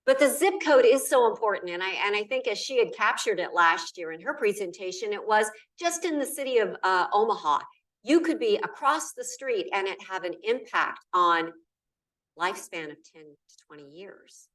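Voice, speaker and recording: A woman's voice: medium pitch, lively intonation, moderate pace, a bit bright. A middle-aged woman from Nebraska giving an emphatic conference talk in a lecture hall, stressing key words in repeated lists. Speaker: keynote speaker. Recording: voice from far off the mic.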